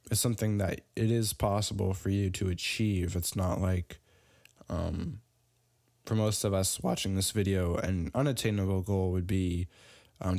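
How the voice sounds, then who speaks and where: A young adult man giving a casual online business lesson, halting often with fillers and restarts. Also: low voice, a little mellow, no room sound, studio quality. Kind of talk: casual instructional talk.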